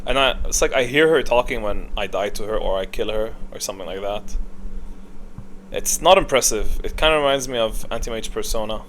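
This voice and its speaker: A man doing casual, unscripted tier-list commentary, opinionated and halting as he thinks aloud. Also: on the low side, a bit bright, slight background noise. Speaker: video creator, gaming commentator.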